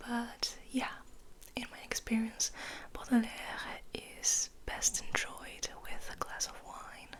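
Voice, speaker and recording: A woman whispering ASMR as host, rambling softly and intimately to the listener, sometimes slipping between two languages. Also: a little high, monotone. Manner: slow, intimate whisper, addressing the listener affectionately; switches between English and French. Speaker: ASMR host and poetry reader.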